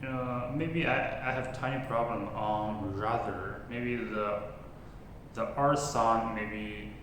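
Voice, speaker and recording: Slow, halting man in a very echoey room. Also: fairly low pitch, fairly even intonation, fairly bright tone. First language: not English. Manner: halting, self-correcting, repeats words. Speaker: English learner practising pronunciation. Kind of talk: informal spoken practice log, repeating words after a recording. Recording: very echoey room, faint background noise.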